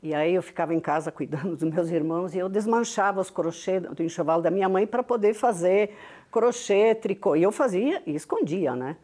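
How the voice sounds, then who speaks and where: An older Brazilian-born woman with a heavy Italian Veneto-influenced accent, unhurried and reflective as an interview guest recounting her life. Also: on the low side. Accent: Italian Veneto-influenced Brazilian Portuguese. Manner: unhurried, reflective, warmly proud. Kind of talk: reminiscing storytelling.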